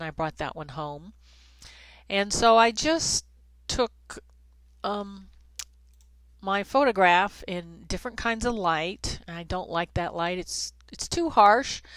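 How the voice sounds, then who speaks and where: A middle-aged woman with a stuffy, congested nose giving a conversational photography software tutorial, with frequent ums and restarts. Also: lowish voice, dry recording. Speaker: photography instructor. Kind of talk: screen-recorded software tutorial. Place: Kansas City, Missouri, USA.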